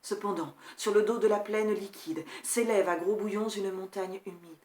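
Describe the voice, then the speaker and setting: A woman performing a grief-stricken male tutor in a classical verse tragedy, solemn and measured, moving between hushed and forceful delivery. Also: medium pitch, fairly even intonation, roomy sound, close to an everyday voice. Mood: solemn dread. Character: grief-stricken male tutor recounting his prince's horrific death. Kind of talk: dramatic classical French verse recitation.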